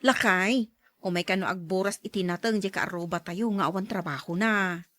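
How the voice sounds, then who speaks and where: An actress playing a practical farmer's wife who complains in a gently teasing way. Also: female voice, lowish voice, normal speed, lightly acted. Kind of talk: audio drama acting.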